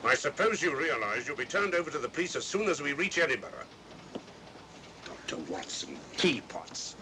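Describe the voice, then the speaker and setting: Casting: self-important policeman, blustering, lively intonation, old mystery film with clear background noise. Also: normal speed. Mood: stern. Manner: blustering, sarcastic. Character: brusque, officious, self-important male police inspector. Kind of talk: 1940s mystery film acting. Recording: noisy old film soundtrack, train rumble.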